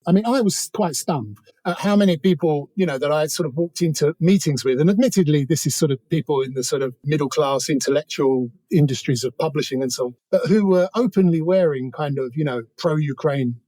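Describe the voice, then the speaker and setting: A British man talks through politics as an interview guest. His voice sits a little high yet is mellow and dark in tone. He wanders conversationally through asides, in a dry recording. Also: fairly quick, hardly any pauses, dry recording. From Britain. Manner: discursive, hedging with many asides.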